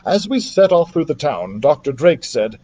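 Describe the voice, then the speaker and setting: A man reading a children's adventure novel aloud as a story-time host, with an animated, theatrical delivery. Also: a little high, normal speed.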